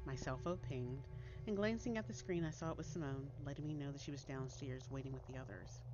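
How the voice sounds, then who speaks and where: An American woman reading a story aloud as an expressive, dramatic audiobook narrator. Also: very deep voice, brisk. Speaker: author reading her own short story. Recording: dull lo-fi audio under loud music. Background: music.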